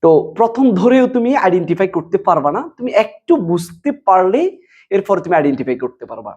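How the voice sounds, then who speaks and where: A woman's voice: lowish voice, sing-song, a little fast, darkish tone, slightly rough, lo-fi sound. A woman teaching students a grammar lesson, explaining step by step with repetition and questions she answers herself. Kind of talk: board lesson addressed to students.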